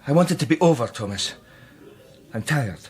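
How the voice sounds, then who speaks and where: An actor playing a weary, desperate man in a radio drama, with a husky, halting, pleading delivery. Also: medium pitch, lively intonation, a little mellow. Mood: pleading, exhausted. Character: distraught, weary man desperate about a missing woman. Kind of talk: naturalistic radio drama acting.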